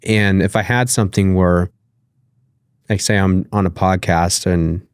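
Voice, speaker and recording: A man's voice: deep voice, fairly even intonation, a little slow, clean tone, no echo, studio quality. A man with a nasal voice, talking loosely as a podcast guest, with lots of fillers and casual swearing. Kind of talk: casual interview conversation.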